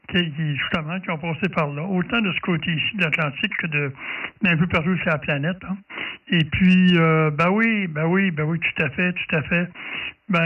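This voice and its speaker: A man in his sixties or older with a Québécois French accent, chatting casually on amateur radio and repeating his phrases. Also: fairly high pitch. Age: 60s or older. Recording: distant, phone-like radio audio.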